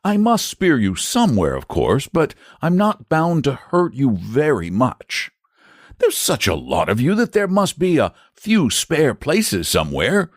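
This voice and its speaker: A man's voice: mid-range voice, huge pitch swings, a little slow, no room sound, studio quality, voice pushed up. A man voicing a patient, earnest young knight who talks persuasively.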